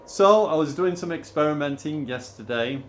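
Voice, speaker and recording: A man, hoarse from losing his voice, talking casually and at length to his viewers as a gaming YouTuber. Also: a little high, animated, moderate pace, slight background noise. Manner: rambling, repeats "obviously" and restarts often. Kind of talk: casual rambling vlog talk.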